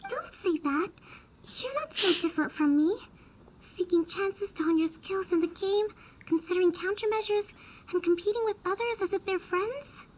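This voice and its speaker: A woman voicing a gentle, formal and polite young female strategist in an anime game, gracious and composed. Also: extremely high pitch, moderate pace, slight background noise, low-quality recording.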